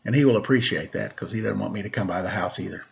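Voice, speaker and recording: A man's voice: fairly low pitch, fast talker, gravelly. A man speaking as a teacher at a children's story time, with a playful, wry, conversational manner. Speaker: teacher reading aloud to children. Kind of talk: picture-book story time, casual chat. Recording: dull, lo-fi sound.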